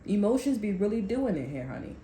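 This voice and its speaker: A woman's voice: medium pitch, big pitch swings, fast talker, warm tone. A woman giving a casual tarot reading, rambling and blunt, who swears when she gets heated. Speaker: tarot reader. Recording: echoey room with faint background noise.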